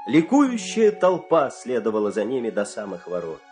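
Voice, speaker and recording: Lively, expressive male storyteller. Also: mid-range voice, faint music behind. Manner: expressive storyteller with measured dramatic pauses. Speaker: narrator. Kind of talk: dramatic fairy-tale narration.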